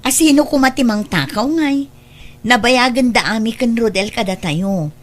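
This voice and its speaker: A woman's voice: fairly high pitch, normal speed, lightly acted. A woman acting in an Ilocano radio drama as a matter-of-fact mistress of the house, with an Ilocano accent. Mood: reassuring, doubtful. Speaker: drama actor.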